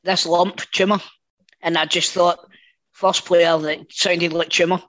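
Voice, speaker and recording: A man's voice: sits high, flat delivery, a little slow, a bit bright. A man in his late thirties with a Scottish accent, hosting a podcast with casual, sweary, blokey banter. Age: late 30s. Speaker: podcast host.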